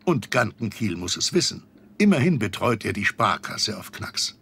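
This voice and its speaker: An actor narrating a children's audio drama as a genial storyteller. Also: male voice, lowish voice, big pitch swings, moderate pace, vocal fry, sharp tone, slightly rough, exaggerated voice. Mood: storytelling. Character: genial adult male storyteller.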